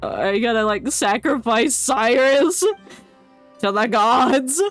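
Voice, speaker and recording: A woman's voice: a little high, big pitch swings, slow, a bit bright. A woman doing casual livestream game commentary, rambling and reacting aloud in an irreverent way. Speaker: game streamer. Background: game music.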